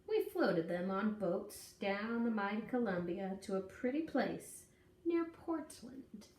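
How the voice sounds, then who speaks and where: A woman reading a children's picture book aloud in an expressive, folksy, dramatic storytelling manner. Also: mid-range voice, slow. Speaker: storybook reader. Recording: echoey room, distant mic, faint noise.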